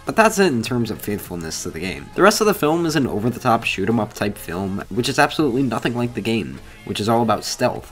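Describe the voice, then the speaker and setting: A man hosting a video essay, reading scripted commentary in an informal, opinionated way. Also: lowish voice.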